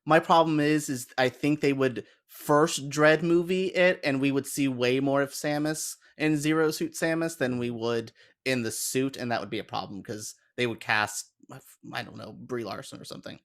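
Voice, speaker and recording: A man chats casually as a podcast panelist. His voice sits at a middle pitch, and he offers opinions with open enthusiasm. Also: steady tone, normal speed. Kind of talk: casual chat.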